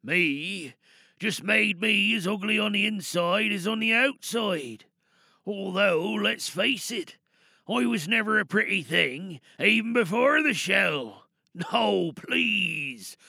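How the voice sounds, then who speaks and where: A man playing a bitter, self-loathing maimed war veteran who speaks formally and haltingly in a dramatic monologue. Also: sits high, animated, gravelly, sharp tone, dry recording, clean studio sound, exaggerated voice.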